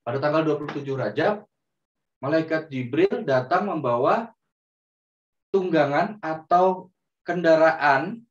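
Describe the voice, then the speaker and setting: A man telling a story to children in a gentle, drawn-out way, often turning to them as friends. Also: a little high. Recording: roomy, distant, dull sound.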